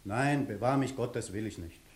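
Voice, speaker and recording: An actor playing a stubborn, hurt young peasant fiancé in a classical 1960s radio play, blunt, gruff and defiant. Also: male voice, medium pitch, moderate pace, lightly acted. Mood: wounded scorn. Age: young adult. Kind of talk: 1960s German-language radio play, classical stage acting. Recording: roomy 1960s radio studio.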